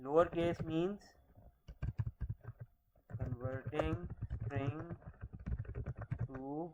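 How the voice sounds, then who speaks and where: A man's voice: a little high, steady tone, extremely slow, background noise. A man in his thirties teaching a programming class, explaining step by step and often checking in with "okay?". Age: 30s. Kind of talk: screen-recorded coding lecture.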